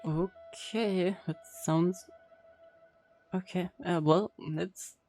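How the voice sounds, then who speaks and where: Slow, low-voiced woman gaming streamer. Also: fairly even intonation, warm tone, faint music behind. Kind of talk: casual game reaction, reading dialogue choices aloud.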